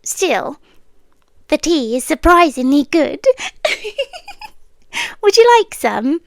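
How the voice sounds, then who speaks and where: Woman acting slow, hushed British lady doctor, eerie. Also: high voice, huge pitch swings, vocal fry, no echo, full character voice. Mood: soothing, tender. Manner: hushed, deliberate, slipping into whispers, long pauses. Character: soft-spoken eerie, possessive female doctor tending a lone patient. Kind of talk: ASMR roleplay. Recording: close, dry ASMR recording.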